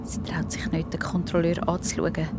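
A woman's voice: low voice, monotone, normal speed, in a whisper. A woman with a Swiss German accent narrating a scripted audio story for children.

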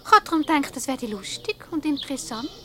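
A teasing Swiss woman in a radio comedy. Her voice is high and playful, full of mock innocence and good humour. A faint noise sits under the older recording. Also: very animated, quick pace, pushed and bright, exaggerated voice. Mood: mock-innocent. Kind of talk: radio comedy play acting.

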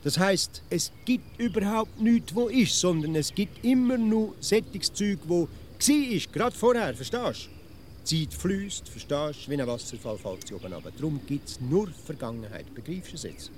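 A stubborn small-town Swiss man who fancies himself a philosopher. He explains insistently in a Swiss German accent, with a fairly high voice that swings up and down. It is a comic radio sketch. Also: animated, moderate pace, a little mellow, slight background noise. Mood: insistent explaining. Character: stubborn wily provincial Swiss male amateur philosopher.

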